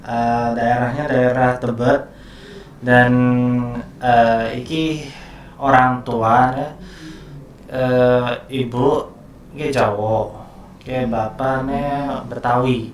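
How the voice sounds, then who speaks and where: Slow-talking young man, very echoey. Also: medium pitch, steady tone, slight background noise. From Jakarta, Indonesia. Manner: halting, pauses with many fillers. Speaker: podcast guest. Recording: very echoey room, mic far off.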